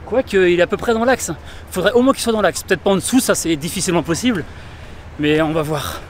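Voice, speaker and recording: A man's voice: high-pitched, quick pace, a little hiss or hum. A man walking outdoors in a city, chatting enthusiastically to his viewers in a casual travel vlog. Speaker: travel vlogger. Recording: music playing behind.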